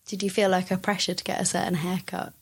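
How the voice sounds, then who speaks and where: A woman's voice: on the low side, flat delivery, fast, breathy edge, no echo, studio quality. A woman presenting a documentary and interviewing guests, light and self-deprecating in manner.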